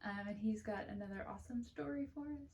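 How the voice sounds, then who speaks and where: A woman's voice: medium pitch, fast, a little mellow. A woman hosting a casual chat, giving encouraging reactions in everyday speech. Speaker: host, daughter interviewing her father.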